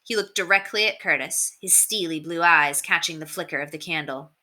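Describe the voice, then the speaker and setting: Very bright-voiced woman reading a story. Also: sits low, brisk. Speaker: read-aloud narrator. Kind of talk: expressive storybook narration.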